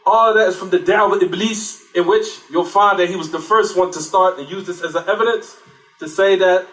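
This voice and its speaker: A man's voice: sits high, steady tone, a little fast, fairly bright tone. A man giving a religious lecture, often asking rhetorical questions and then answering them himself. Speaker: Islamic lecturer. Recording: echoey room, distant mic.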